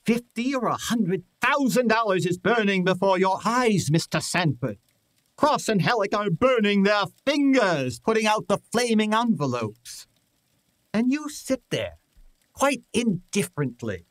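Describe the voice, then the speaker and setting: Man playing a slow, pompous detective genius. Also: high-pitched, big pitch swings, fairly bright tone, dry recording, heavily acted. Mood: smug, methodical. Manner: formal, deliberate, pompous. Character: commanding, fussy, theatrical male detective genius. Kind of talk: old-time radio detective drama acting.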